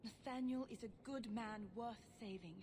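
A woman's voice: a little high, a little slow, darkish tone, a little hiss or hum, lightly acted. A woman playing an anxious, formal noblewoman in a fantasy video game, earnest and pleading. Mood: worried, pleading.

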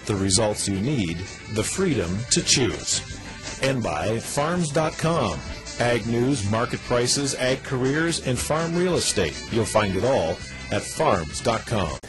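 A man's voice: medium pitch, expressive, slow, poor audio. A man reading the program's sponsor credits and introduction in a scripted announcer style. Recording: music bed under voice.

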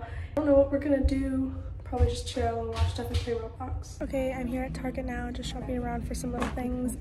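A woman's voice: fairly high pitch, reverberant. A young American woman chatting casually to the camera as a vlogger, recorded on a phone held at arm's length. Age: teens to young adult. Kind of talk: casual vlog chat to camera.

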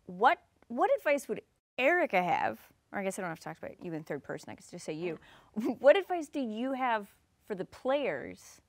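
A woman's voice: medium pitch, sing-song, normal speed, no room sound. A woman in her thirties hosting a casual discussion, putting questions to her guests in an informal way. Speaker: host. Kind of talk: casual interviewing. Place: TV-style studio set.

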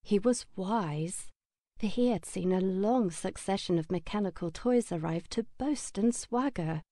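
A woman's voice: medium pitch, measured pace, mellow, no room sound, studio quality. A woman reading a children's story as an audiobook narrator, in a scripted storytelling style.

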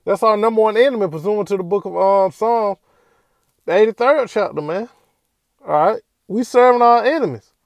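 Male commentator, high voice, dry room, loose rambling delivery. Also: moderate pace, no echo. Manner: rambling, repeats "all right" and "man" as fillers. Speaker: commentator reacting to a street video. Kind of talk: casual spoken commentary over a video.

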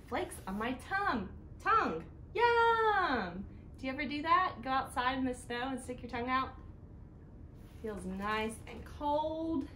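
High-pitched woman reading to children. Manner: animated, sing-song, child-directed delivery with questions to listeners. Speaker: children's storytime host at a library. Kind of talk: reading a picture book aloud to children. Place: living room indoors, seated on a couch. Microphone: camera a few feet away. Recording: roomy home sound with noticeable background noise.

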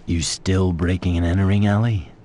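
Man playing a low-voiced, terse undercover cop. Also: fairly even intonation, moderate pace, warm tone, lightly acted. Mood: terse, cool. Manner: laconic, cool. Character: terse, tough undercover male police detective. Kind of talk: video game cutscene acting.

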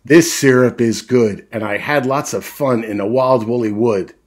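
A man's voice: mid-range voice, moderate pace, husky, natural voice. A man voicing an eager young boy. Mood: earnest.

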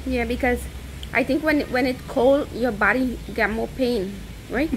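A woman co-hosting a casual cooking chat, playful and quick to laugh. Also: fairly high pitch, a little hiss or hum, poor audio. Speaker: co-host.

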